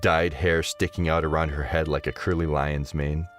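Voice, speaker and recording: A man's voice: a bass voice, little pitch movement, moderate pace, faint music behind. A man narrating a scripted story as the narrator of an audio drama.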